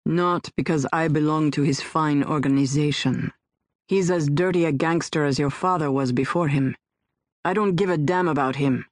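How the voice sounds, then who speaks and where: A woman narrator voicing a tough, sardonic male rescuer who speaks in a blunt, gruff, curt way. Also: a little high, moderate pace, no echo, studio quality, pitched below the performer's own voice, close to an everyday voice.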